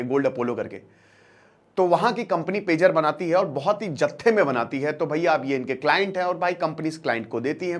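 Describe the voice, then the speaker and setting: A man giving an informal current-affairs lecture as a storyteller, talking directly to listeners in colloquial language and repeating phrases for emphasis. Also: on the high side. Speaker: current affairs educator and channel presenter. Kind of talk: informal explainer lecture, storytelling with acted-out dialogue.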